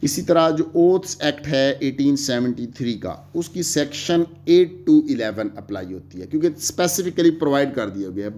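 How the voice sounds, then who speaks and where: A man delivers a recorded law lecture. His voice sits in a middle pitch, and he explains each point steadily, slipping English legal terms into his talk. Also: a little mellow.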